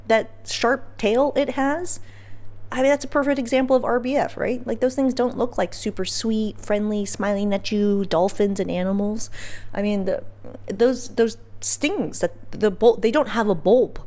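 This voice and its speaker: A woman's voice: fairly high pitch, normal speed, a little mellow. A woman hosting a podcast, telling personal stories in a casual, candid way with informal slang and emphatic phrasing. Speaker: podcast host.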